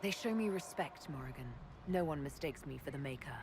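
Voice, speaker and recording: Composed, lowish-voiced army leader. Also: female voice, animated, a little fast. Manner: formal, assured. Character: composed, resolute woman leader of fantasy army. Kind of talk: fantasy video game dialogue. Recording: game audio with faint ambient noise.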